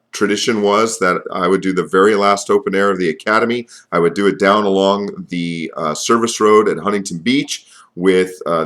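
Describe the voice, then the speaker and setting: A man giving an informal instructional talk as an open-air preaching coach, speaking earnestly and with emphasis, pausing between phrases. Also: low voice, a bit bright.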